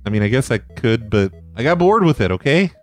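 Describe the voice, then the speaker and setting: A man hosting a call-in show, mocking and sarcastic in his replies. His pitch sits in the middle range, and faint music plays under him. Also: big pitch swings, fairly quick. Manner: sarcastic, teasing. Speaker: podcast host playing voicemails. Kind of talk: casual comedic banter.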